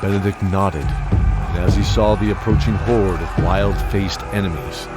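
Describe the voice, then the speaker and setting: A man's voice: low voice, steady tone, a little slow. A man narrating a scripted fantasy audio drama with dramatic storytelling. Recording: prominent music bed behind voice.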